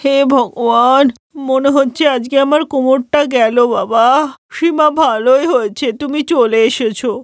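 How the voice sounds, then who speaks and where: A woman's voice: high voice, a little slow, no room sound, clean studio sound. A woman voicing an older, complaining mother-in-law in a moral-story cartoon, with a nagging, sighing delivery. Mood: weary, aching.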